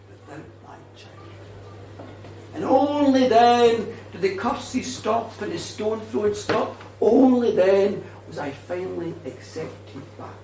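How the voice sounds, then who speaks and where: A man's voice: extremely high pitch, darkish tone, exaggerated voice. A man telling as a mournful ghost woman. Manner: mournful, solemn first-person telling. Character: vengeful female ghost, centuries old. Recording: roomy and distant, faint noise.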